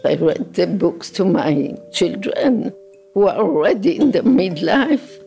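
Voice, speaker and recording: An older woman speaking slowly and reflectively in an interview. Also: on the low side, sing-song, creaky, mellow, faint music behind. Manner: slow, reflective.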